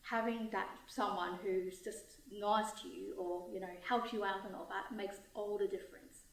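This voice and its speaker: A woman's voice: medium pitch, steady tone, normal speed, gravelly. Woman, chatty, lots of fillers, casual interview, echoey distant mic. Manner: chatty, full of "you know". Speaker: volunteer.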